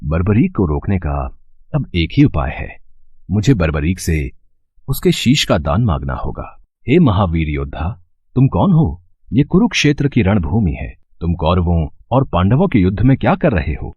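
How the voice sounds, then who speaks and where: A man voicing a calm, shrewd, majestic god in a mythological story, with measured, formal speech. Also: sits low, breathy edge, dry recording, highs cut off, exaggerated voice. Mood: shrewd, questioning. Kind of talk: scripted mythological storytelling drama.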